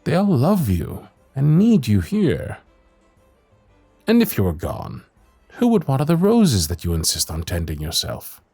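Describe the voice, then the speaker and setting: Music plays behind a male actor as a devoted royal knight in a fantasy audio roleplay. His lively voice swings widely in pitch. He is protective and exasperated, with a teasing streak and courtly turns of phrase. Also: a little slow, vocal fry, husky, heavily acted. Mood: stern, pleading. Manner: old-fashioned courtly phrasing, teasing and earnest. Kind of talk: ASMR roleplay acting, fantasy audio drama.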